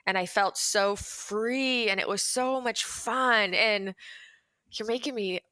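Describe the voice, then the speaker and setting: A woman's voice: mid-range voice, takes it slowly, pushed and bright, clean tone, dry recording. A woman hosting a podcast, chatting casually in an effusive, encouraging way. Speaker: podcast host. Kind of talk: casual interview chat.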